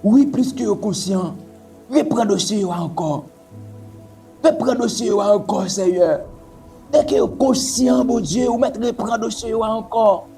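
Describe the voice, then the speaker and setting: Casting: high, mellow-voiced man, fervent pleading prayer, soft music under. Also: measured pace. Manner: repetitive, pleading, intoning invocations. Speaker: prayer leader.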